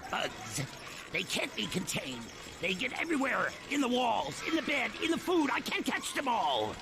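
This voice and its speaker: A man's voice: very high voice, huge pitch swings, normal speed, noisy background, exaggerated voice. A man voicing a frantic, paranoid game character, obsessive and agitated. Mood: frantic, obsessed. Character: frantic, bug-obsessed paranoid male contest winner. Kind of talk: game cutscene acting.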